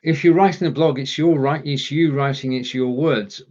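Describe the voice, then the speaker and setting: A man's voice: medium pitch, steady tone, moderate pace, a little mellow, highs cut off. A man from England hosting a casual online chat show, explaining things in a stop-start way over a streaming call. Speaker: show host and producer. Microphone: live streaming video call.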